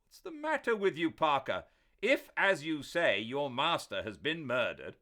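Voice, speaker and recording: A man's voice: on the high side, very animated, measured pace, sharp tone, voice pushed up, heavily acted. A man voicing an agitated middle-aged doctor who demands answers in a raised, urgent voice.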